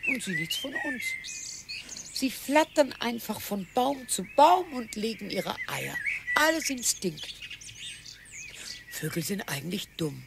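An actress playing a resigned, dry-witted older wife in a radio play. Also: female voice, fairly high pitch, wildly swooping pitch, slow, gravelly, long pauses, very noisy, exaggerated voice. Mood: musing. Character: weary older wife of a pensioner, dry and tired.

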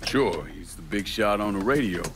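Low-voiced tough young American. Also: male voice, lively intonation, moderate pace, background noise. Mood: questioning, businesslike. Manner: terse, controlled. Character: cool, tough young war veteran turned criminal. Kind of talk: video game cutscene dialogue.

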